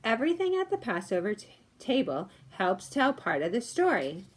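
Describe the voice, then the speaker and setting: Woman reading aloud to children, medium pitch. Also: sing-song, normal speed, husky. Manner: explanatory, asks listeners questions. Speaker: children's story reader. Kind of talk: read-aloud with casual asides to children.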